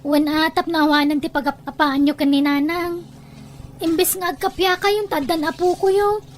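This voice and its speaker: A woman's voice: extremely high pitch, little pitch movement, moderate pace, slight background noise. A woman with an Ilocano Filipino accent acting an aggrieved family member in an amateur audio drama. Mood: scolding.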